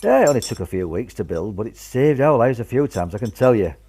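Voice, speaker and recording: A man acts a gruff old grandad in a wartime radio play, with a very animated delivery. He is blunt and folksy, a veteran who steadies his family. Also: medium pitch, fast, slight background noise, close to an everyday voice. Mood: reassuring. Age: older. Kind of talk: amateur radio drama acting.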